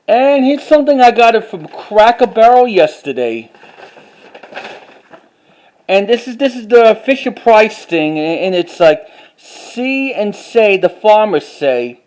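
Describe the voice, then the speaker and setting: A man with a halting, word-repeating way of talking, doing a casual show-and-tell like an enthusiastic collector. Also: sits high, very animated, darkish tone, poor audio. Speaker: vlogger.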